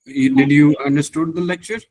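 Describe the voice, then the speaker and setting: A man's voice: a little high, fairly even intonation, brisk, a little mellow, no room sound. A man moderating a workshop, quizzing attendees with direct questions.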